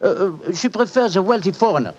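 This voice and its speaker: An actor playing an affable, gossipy French police inspector in a 1940s radio drama, courteous and hesitant, with a French accent. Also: male voice, high voice, lively intonation, a little fast, a little mellow. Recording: dull vintage radio recording.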